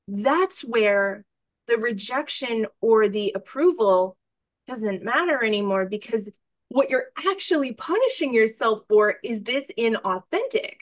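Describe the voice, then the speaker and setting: A woman hosting a podcast in casual conversation, effusive and informal, thinking out loud as she talks. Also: a little high, dry recording. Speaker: podcast host interviewing a guest.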